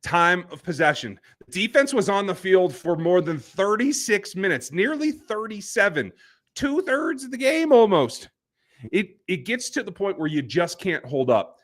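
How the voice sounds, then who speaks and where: A man hosts a live post-game football reaction stream, and his delivery is fired-up and ranting. His voice sits a little high for a man. He pushes his points hard and speaks straight to the viewers. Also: sing-song, normal speed. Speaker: podcast host.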